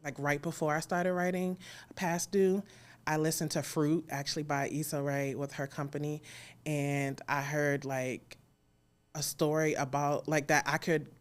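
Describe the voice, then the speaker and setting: A woman's voice: deep voice, little pitch movement, unhurried, clean tone. An American woman chatting informally as a guest in a live interview. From Alexandria, Virginia, United States. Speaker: interview guest, audio drama creator. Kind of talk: casual live interview talk.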